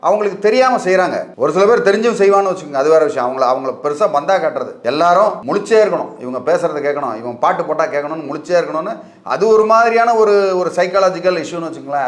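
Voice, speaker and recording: A man with a Tamil Nadu accent giving informal legal advice as an expert, emphatic and colloquial, mixing in English words. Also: a little high. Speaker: legal expert guest answering questions. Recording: echoey, reverberant room.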